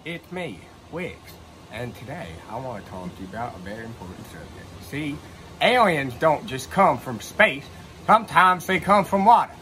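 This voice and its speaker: A man's voice: high-pitched, pushed and bright, slight background noise, heavily acted. A man playing an earnest oddball who tells of alien encounters in a mock-serious, theatrical comedy monologue.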